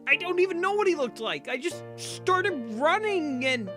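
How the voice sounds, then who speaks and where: A woman's voice: extremely high pitch, very animated, normal speed. A woman playing a terrified young male teammate who stammers fearfully in an audio drama. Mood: panicked. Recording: music clearly behind.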